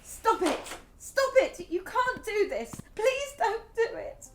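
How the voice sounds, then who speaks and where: A woman's voice: very high-pitched, very animated, takes it slowly, soft background music, exaggerated voice. An actress playing a stubborn, devout, grieving mother in a radio drama. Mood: distraught. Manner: insistent, repetitive, emotionally volatile.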